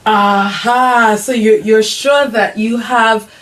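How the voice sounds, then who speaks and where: A woman's voice: a little high, a bit bright, a little hiss or hum, rough recording. Chatty, upbeat woman host. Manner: chatty and upbeat, playful teasing, frequent ums. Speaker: host of an online mums' group show. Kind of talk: casual chat, interviewing her son.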